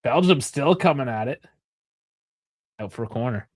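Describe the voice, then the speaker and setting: A man's voice: mid-range voice, lively intonation, moderate pace, a little mellow, breathy edge, no room sound. A Canadian man in his thirties, chatting loosely about soccer with frequent laughs and swearing. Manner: rambling, swears, laughs often. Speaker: co-host of a soccer watchalong. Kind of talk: casual chat.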